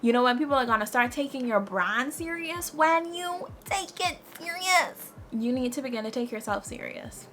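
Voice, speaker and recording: A woman in her twenties giving lively, faith-filled life advice to her viewers, repeating her key phrases and calling them "girl". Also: high-pitched, normal speed, hardly any pauses, slight background noise. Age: 20s. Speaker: lifestyle vlogger. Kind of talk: casual motivational advice talk.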